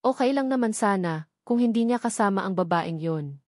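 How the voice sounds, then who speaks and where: A woman's voice: mid-range voice, lively intonation, normal speed, darkish tone, dry recording, natural voice. Female reader as romance heroine: sulky young wife, Filipino accent, jealous, pouty, dry studio-like closeness.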